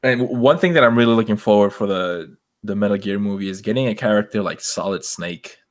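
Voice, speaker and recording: A man with a slightly low voice chats casually as a podcast co-host. His delivery is halting, with words often repeated as he works toward his point. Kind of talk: casual chat about movies and video games.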